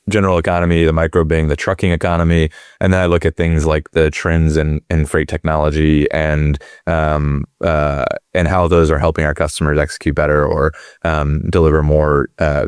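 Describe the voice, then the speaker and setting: A middle-aged man with a deep voice, talking loosely in an interview, with lots of fillers and restarts. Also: level tone, normal speed, clean tone, no room sound, clean studio sound. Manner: rambling, many fillers and restarts. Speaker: guest, company executive. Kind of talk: conversational interview.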